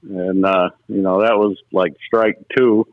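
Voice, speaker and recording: A middle-aged man speaks over a narrow, tinny phone connection in a fairly low voice. He is a former racer reminiscing casually, spinning folksy anecdotes as an interview guest. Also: steady tone, unhurried, dry recording. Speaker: former race car driver, interview guest. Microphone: telephone. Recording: muffled, narrow telephone line.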